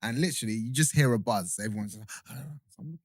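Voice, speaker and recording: A man hosting a casual podcast, reacting quickly and eagerly in slang and telling his own anecdotes. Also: on the low side, no echo, studio quality. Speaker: podcast host.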